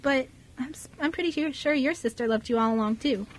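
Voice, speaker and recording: A woman's voice: high voice, brisk. A woman playing a chatty, eager fifteen-year-old girl in an amateur radio drama, friendly and giggly. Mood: sincere.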